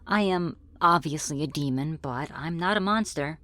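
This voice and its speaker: A woman's voice: sits low, normal speed, a bit bright, no room sound. A woman voicing a friendly, teasing female demon in an ASMR roleplay, with casual, politely menacing delivery. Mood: gently teasing. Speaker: roleplay voice performer. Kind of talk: ASMR roleplay, scripted one-sided dialogue.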